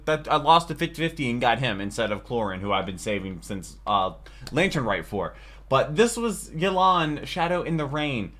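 Bright-voiced American reaction host, a man. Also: mid-range voice. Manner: enthusiastic, chatty. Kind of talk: casual reaction commentary. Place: small furnished home room.

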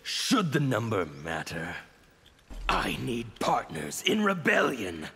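A menacing anarchist villain for a fighting game, with a badly shredded, rasping voice. His delivery swings wildly up and down and rings out in long reverb. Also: medium pitch, huge pitch swings, takes it slowly, fairly bright tone, full character voice. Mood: defiant. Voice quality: raspy, gravelly. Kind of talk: video game fight intro dialogue.

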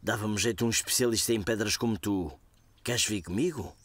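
A man's voice: lowish voice, a little fast, slightly rough, no echo. An actor playing a kind, playful elderly grandfather in a cartoon dub, with a European Portuguese accent. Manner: gentle, encouraging.

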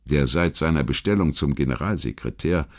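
Low-pitched man narrating history. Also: expressive, brisk. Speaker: documentary narrator. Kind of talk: scripted historical documentary narration.

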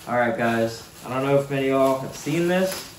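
A man's voice: medium pitch, normal speed. A young man, a fishing guide, chatting easily to his viewers as he unboxes gear at his desk in a small home office. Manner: easygoing, chatty, addresses viewers as guys. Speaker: surf fishing guide and channel host. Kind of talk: casual unboxing vlog talk. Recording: echoey room with faint hiss.

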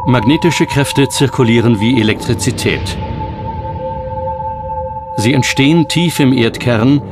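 A man narrates a documentary from a script, with a mid-pitched voice and measured, dramatic phrasing. Music plays clearly behind him throughout. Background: background music.

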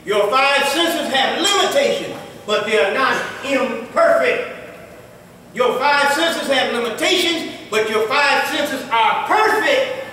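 High-voiced preaching imam in an echoey hall. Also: male voice, expressive, takes it slowly, a little hiss or hum. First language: English. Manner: conversational preaching, jokes and laughs, rises to emphatic shouts. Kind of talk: Friday sermon. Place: mosque room with tiled walls. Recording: very echoey hall, taken from a distance.

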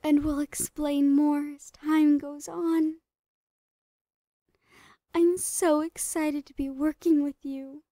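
High-voiced, unhinged doting lady doctor. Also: little pitch movement, slow, pauses often, dark tone, dry recording, studio quality. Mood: gleefully obsessed. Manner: breathy giggling, cooing, slipping into whispers. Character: smiling psychotic female doctor, obsessively doting on captive test subject. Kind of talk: horror ASMR roleplay.

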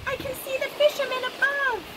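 A bold, loyal male sea creature from a children's storybook, acted by a woman. The voice is high and emphatic, with loud surf all around. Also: level tone, fairly quick, heavy background noise. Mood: urgent. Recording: loud ocean surf, outdoors.

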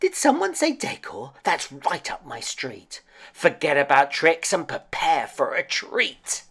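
A woman's voice: sits low, wildly swooping pitch, measured pace, a bit bright, breathy edge, exaggerated voice. A woman voicing a cheerful, kindly male pumpkin in a storybook, friendly and eager. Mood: excited.

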